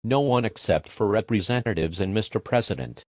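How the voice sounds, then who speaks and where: A cocky monster hunter, played by a man with a mid-to-low everyday voice. He talks casually, in dry, close sound, like an amateur drama hero. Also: lively intonation, brisk, darkish tone, no room sound.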